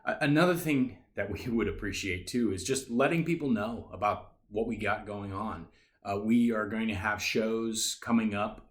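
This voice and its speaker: A man's voice: lowish voice, a bit bright. A man speaking informally as a theater presenter, making a chatty appeal to listeners. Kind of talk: casual donation appeal to listeners.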